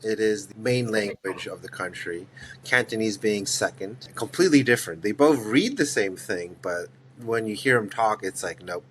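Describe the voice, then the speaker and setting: Low-voiced man telling his story. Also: normal speed, a bit bright, a little hiss or hum. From United States. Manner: rambling, with frequent restarts and fillers. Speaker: interview guest. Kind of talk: casual interview storytelling.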